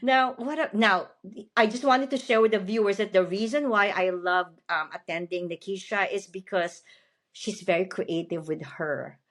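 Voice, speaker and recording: A woman's voice: medium pitch, moderate pace, fairly bright tone. Woman, podcast host, chatty and upbeat, mid-range voice. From Philippines. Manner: chatty and upbeat, often asks "you know what I mean". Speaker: podcast host and motivational speaker. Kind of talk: casual interview chat.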